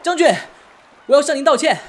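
A woman's voice: high-pitched, fairly quick, lightly acted. A woman playing an earnest, deferential young soldier in an audio drama. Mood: apologetic. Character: earnest, loyal young low-ranking soldier. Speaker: voice actor. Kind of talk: modern Chinese audio drama acting.

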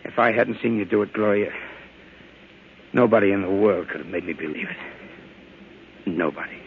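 A man's voice: lowish voice, moderate pace, background noise, natural voice. An actor playing a nervous, hard-drinking gangster henchman in a 1940s radio crime drama, with slangy, whining tough-guy talk. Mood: shaken, queasy. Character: jittery, hard-drinking, conscience-stricken male gangster henchman. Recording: muffled old radio recording.

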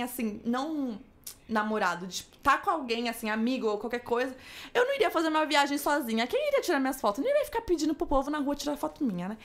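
Brazilian woman guest on a podcast, talks super fast, kinda high voice, chatty storyteller. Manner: chatty storytelling, quick asides and quoted voices. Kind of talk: casual chat.

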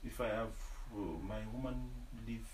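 Man, twenties, from Kenya. Halting, animated guest, echoey room with faint noise. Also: medium pitch. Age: 20s. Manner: halting, restarts often, animated. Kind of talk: casual conversation.

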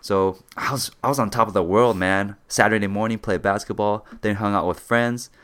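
A young man with a low voice that is a little raspy from a cold. He co-hosts a podcast, chatting in an easygoing way and laughing often. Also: steady tone, moderate pace, a bit bright. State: sick with a cold, slightly raspy. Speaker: podcast co-host. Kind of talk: casual chat.

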